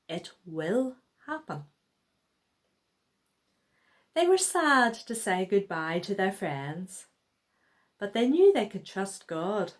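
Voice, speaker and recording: A woman reading a children's Bible story aloud, expressively and with acted-out dialogue. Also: mid-range voice.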